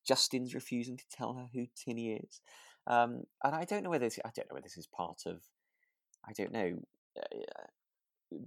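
A man's voice: medium pitch, lively intonation, normal speed, gravelly, dry recording, studio quality. A man co-hosting a podcast, casually recapping in a hesitant way as he searches for words. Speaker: podcast co-host. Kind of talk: casual chat recapping a radio drama.

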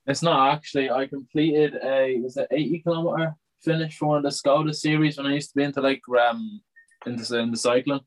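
A man's voice: mid-range voice, fairly even intonation, quick pace, rough recording. A man chatting on a podcast in an easygoing, rambling way. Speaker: podcast co-host. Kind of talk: casual chat.

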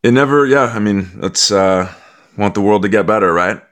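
A man hosting a podcast interview in a casual, chummy and somewhat halting conversational way. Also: fairly low pitch, a bit bright, dry recording. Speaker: podcast host.

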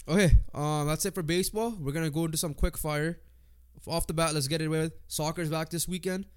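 A man's voice: fairly high pitch, steady tone, fairly quick, clear, resonant tone. A man co-hosting a sports podcast with a congested voice, chatting informally and swearing casually. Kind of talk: casual sports chat, reading lists and predictions.

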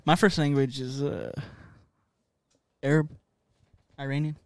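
A young man streaming casual chat, teasing his friend with jokes and loud swings in volume. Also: a little high, little pitch movement, a little slow, dry recording.

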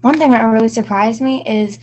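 A woman's voice: fairly high pitch, fairly quick, a little mellow, highs cut off. Young woman from Virginia, chatty student, filler-heavy. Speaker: student guest. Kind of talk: casual chat.

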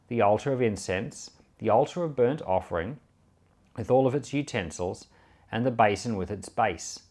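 Man reading scripture with lively intonation. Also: mid-range voice. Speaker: Bible reader and podcast host.

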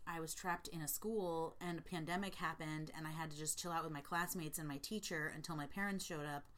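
A woman co-hosting a casual podcast, giggly and chiming in with quick reactions. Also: deep voice, steady tone, fairly bright tone. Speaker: podcast co-host. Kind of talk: casual chat.

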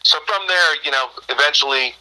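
An American man in his fifties answering interview questions in a measured, explanatory way as a team owner and coach. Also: on the high side, bright, forceful tone, poor audio. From Michigan, United States. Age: 50s.

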